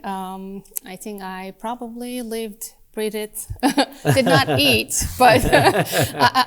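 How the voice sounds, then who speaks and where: Turkish-born woman in her 40s, smooth clear voice, laughs a lot while chatting. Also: medium pitch. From Turkey. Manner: thoughtful, laughs often. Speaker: guest, department chair. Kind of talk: casual podcast conversation.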